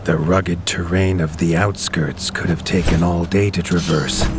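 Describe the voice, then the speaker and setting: A man narrating a storybook-style game in a grave, measured storyteller's manner. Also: low voice, level tone, music in the background.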